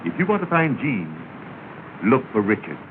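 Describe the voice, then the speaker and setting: An American actor playing a genial, reassuring well-to-do family man in 1930s film style, measured and fatherly. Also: medium pitch, sing-song, natural voice. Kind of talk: early 1930s film acting. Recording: noisy, tinny 1930 film soundtrack.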